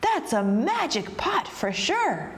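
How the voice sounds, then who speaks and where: A woman's voice: medium pitch, huge pitch swings, takes it slowly. A woman voicing a naive, eager big young man in a children's storybook, drawing out the words in an exaggerated way.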